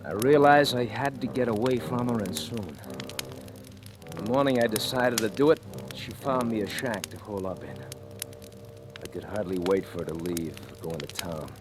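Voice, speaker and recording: An actor playing a desperate fugitive thief in a 1940s radio thriller, with an urgent, haunted delivery. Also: male voice, fairly low pitch, moderate pace, close to an everyday voice. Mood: tense, bitter narration. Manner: stammering, pleading, urgent. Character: desperate handcuffed fugitive thief, male, frantic and scheming. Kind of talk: 1940s radio thriller acting, narrating and in dialogue. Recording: old radio recording with music.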